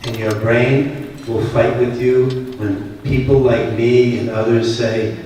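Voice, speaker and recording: A man's voice: medium pitch, flat delivery, slow pace, darkish tone, a little hiss or hum. A man with a Boston accent giving a conversational, emphatic keynote at a conference and putting questions to the audience. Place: large conference hall. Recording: echoey hall, voice from far off.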